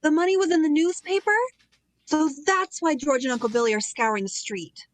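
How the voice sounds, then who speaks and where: A woman's voice: sits high, animated, brisk, slight background noise. A woman playing a naive, eager apprentice angel in a radio play, asking simple, inquisitive questions. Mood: curious.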